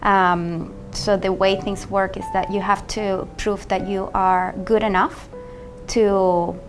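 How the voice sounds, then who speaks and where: A woman from Caracas, speaking English with a Venezuelan accent. Her voice is noticeably gravelly with vocal fry, and she explains things in a measured way with a quietly sorrowful tone. Music plays clearly beneath her. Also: medium pitch, level tone. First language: Spanish. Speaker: ballet mistress and company manager. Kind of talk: personal testimony interview. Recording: music under the voice.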